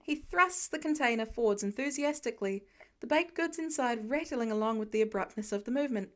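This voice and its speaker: A woman narrates a written story in a fairly high voice. Her delivery is that of an audiobook reader working from a script. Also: lively intonation, a little fast. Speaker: audiobook narrator of fan fiction.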